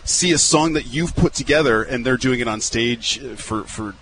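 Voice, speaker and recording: A man's voice: mid-range voice, moderate pace, a bit bright, slight background noise, low-quality recording. A male radio host chatting upbeat and casual in a live interview at an outdoor fairground. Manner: upbeat, chatty, repeats words.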